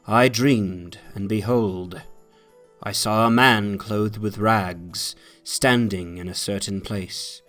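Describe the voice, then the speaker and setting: A male narrator reads a classic tale with solemn weight. He phrases deliberately and leans into dramatic emphasis, with music playing behind him. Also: lowish voice, steady tone, a bit bright. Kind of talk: dramatic literary reading, scripted narration.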